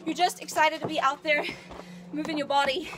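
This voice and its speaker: A woman with a very high, loud voice coaches a workout outdoors, upbeat and encouraging as she counts down each set. She is recorded on a phone outside. Clear background noise runs under her voice. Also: little pitch movement, fairly quick. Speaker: fitness trainer. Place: outdoors in the sun.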